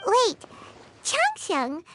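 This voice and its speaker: A woman voicing a tiny, curious, chirpy fairy companion in a video game, with a squeaky childlike voice. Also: huge pitch swings, very slow, music in the background, full character voice. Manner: refers to self in third person, inquisitive. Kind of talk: video game character dialogue.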